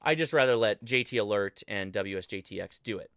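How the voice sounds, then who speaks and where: An American man in his thirties talking through a casual livestream tutorial, conversational and halting as he thinks aloud. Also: on the low side, lively intonation, a bit bright, smooth, no room sound, studio quality. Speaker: livestream host and ham radio instructor. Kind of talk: casual live tutorial with chat replies. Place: indoor home studio desk, livestream.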